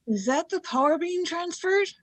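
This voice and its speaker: Woman playing very high, excitable young girl. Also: normal speed. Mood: anxious, curious. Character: excitable, anxious young girl space traveller. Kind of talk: sci-fi radio play acting.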